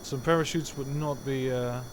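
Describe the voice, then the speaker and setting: A man's voice: mid-range voice, fairly even intonation, moderate pace, background noise. A man whose first language is Dutch, giving rambling, self-correcting live commentary while streaming a game from home.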